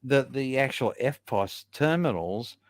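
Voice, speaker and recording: A man's voice: mid-range voice, lively intonation, measured pace, dry recording, clean studio sound. A man chatting casually as a panel guest, easygoing and agreeable, saying "you know" often. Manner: laid-back, agreeable, says "you know" a lot. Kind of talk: casual chat.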